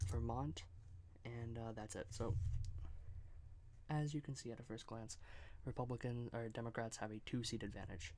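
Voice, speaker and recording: A soft-spoken man giving political commentary in an ASMR style, halting with fillers and slipping into whispers. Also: mid-range voice, steady tone. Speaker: ASMR creator.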